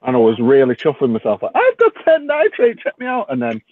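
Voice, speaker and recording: A man's voice: mid-range voice, huge pitch swings, fairly quick. British man chatting on a tinny line. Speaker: community chat participant. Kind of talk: casual group chat. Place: online voice chat. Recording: tinny phone-like line, faint noise, clicks.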